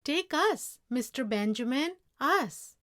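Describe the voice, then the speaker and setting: Woman voicing an eager, high-pitched young boy. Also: expressive, slow pace, fairly bright tone, full character voice. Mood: eager, pleading. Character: eager young Mexican-American boy, earnest and persuasive. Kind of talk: storybook read-aloud character voice.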